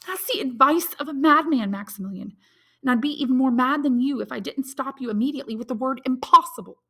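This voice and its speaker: Woman voicing a high-pitched, pleading, distraught young woman. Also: fast, sharp tone, slightly rough, full character voice. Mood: distressed. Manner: emotional, imploring. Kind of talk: dramatic audiobook character reading.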